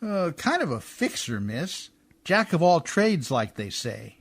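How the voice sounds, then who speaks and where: A high-pitched, very animated riverboat mechanic played by a man in a radio play. He speaks in rough, folksy, ungrammatical phrases, deferential to a lady yet openly skeptical. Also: a little slow, heavily acted. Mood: amused, incredulous. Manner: folksy ungrammatical speech, often adding "miss". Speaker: actor in a community radio theatre. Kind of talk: radio drama acting in an old Hollywood radio style.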